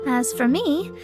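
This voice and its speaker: High-voiced, earnest young astrologer. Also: female voice, full character voice. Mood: sincere. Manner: measured, polite, earnest. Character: earnest, formal young female astrologer, proud but broke. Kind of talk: anime video game voice acting. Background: game music.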